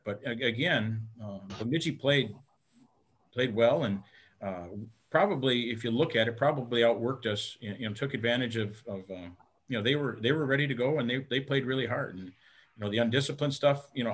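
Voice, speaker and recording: Halting middle-aged coach on video call. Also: male voice, medium pitch, a bit bright. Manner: halting, repeats words, many fillers; plain-spoken, frank. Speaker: head hockey coach. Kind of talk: postgame press conference answers. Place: indoor room in front of team backdrop. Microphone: webcam on video call.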